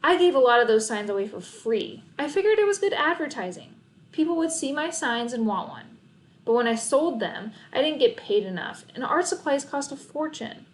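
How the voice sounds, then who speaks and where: A woman's voice: high voice, lively intonation, moderate pace, pitched above the performer's own voice. A woman voicing a stressed, bossy, studious schoolgirl in an emphatic, exasperated manner for a storybook. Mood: frustrated.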